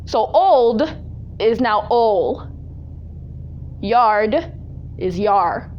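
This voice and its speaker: High-pitched sassy young woman telling stories. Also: expressive, extremely slow, lo-fi sound. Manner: exaggerated, sassy, mimicking relatives. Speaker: storytelling vlogger. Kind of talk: casual comedic storytelling. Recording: audible background noise.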